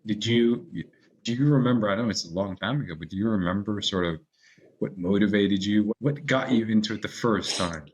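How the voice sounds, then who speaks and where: A male podcast host with a low voice asks questions in an easygoing, encouraging manner. His audio is somewhat dull and lo-fi, lacking crisp highs. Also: brisk, a little hiss or hum. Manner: friendly, encouraging interviewer. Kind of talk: casual interview hosting.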